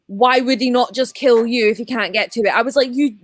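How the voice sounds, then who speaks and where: A woman talks casually in a live book discussion. Her manner is blunt and slangy, her voice sits slightly high, and the sound is dry with little room around it. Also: steady tone, brisk, no room sound.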